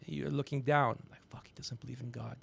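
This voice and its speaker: A man's voice: medium pitch, fairly even intonation, a little fast, vocal fry, a bit bright, slightly rough. A man in his fifties hosting a casual comedy livestream, rambling, teasing and swearing as he talks. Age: 50s. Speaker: podcast host.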